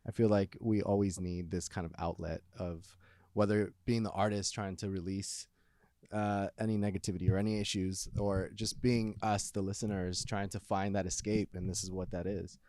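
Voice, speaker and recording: A man's voice: deep voice, level tone, moderate pace, a little mellow, no room sound. A man interviewing a singer in casual chat, affirming and enthusiastic in his reactions.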